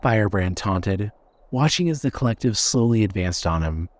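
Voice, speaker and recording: A man narrating dark fiction from a script in a dramatic storytelling style. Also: lowish voice, expressive, moderate pace, crowd noise.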